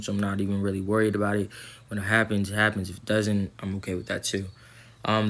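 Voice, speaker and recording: A man's voice: on the low side, a bit bright. A young man recording a casual vlog update, rambling with lots of ums and likes, his throat a little sore. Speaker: vlogger.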